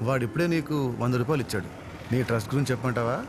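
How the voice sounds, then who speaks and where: An actor playing a smooth-talking, cheeky young male con artist in a film drama, with glib, philosophical patter. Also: mid-range voice, racing, background noise, lightly acted. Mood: smug. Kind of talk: Telugu film dialogue. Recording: loud film music under dialogue. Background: loud film score.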